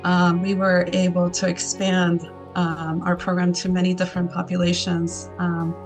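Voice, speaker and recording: A woman's voice: lowish voice, completely flat delivery, moderate pace, music in the background. A woman from Argentina whose first language is Spanish, talking earnestly in an interview with many ums and restarts. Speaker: arts program founder, guest. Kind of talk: conversational interview, answering questions.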